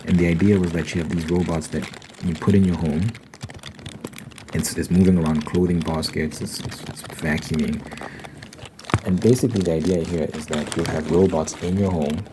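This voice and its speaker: Very deep-voiced man, noisy ASMR ramble. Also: steady tone, moderate pace. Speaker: host of a rambling ASMR channel. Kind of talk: ASMR ramble, reading aloud and commenting. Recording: noisy, with clicking, typing and crinkling sounds.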